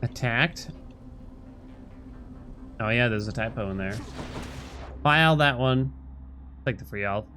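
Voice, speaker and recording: A man's voice: mid-range voice, slow, long pauses, a little hiss or hum. A man chatting casually with viewers as he livestreams a game, rambling and playful, breaking into nonsense sounds. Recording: loud game music behind voice. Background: video game music.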